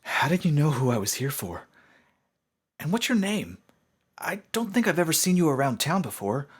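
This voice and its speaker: A man voicing a nervous but bold young pony in a story, in a raised, lighter voice with an anxious, forceful manner. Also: fairly high pitch, big pitch swings, moderate pace, husky, voice pushed up. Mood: suspicious.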